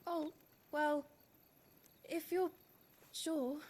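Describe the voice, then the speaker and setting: An actress playing a kind village woman who gently offers medicine in a video game cutscene. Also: sits high, steady tone, very slow. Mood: hesitant.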